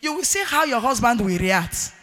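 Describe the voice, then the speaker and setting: A middle-aged woman with a Nigerian accent and a loud, forceful voice, preaching a marriage sermon emphatically and repeating key phrases. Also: a little high, very animated, normal speed, faint music behind. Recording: echoey hall with long reverb.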